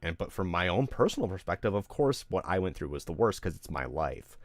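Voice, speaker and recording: A man's voice: deep voice, big pitch swings, a little fast, dry recording. A streamer man ranting candidly to his live audience, rambling, emotional and full of swearing. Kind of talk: candid venting on a livestream.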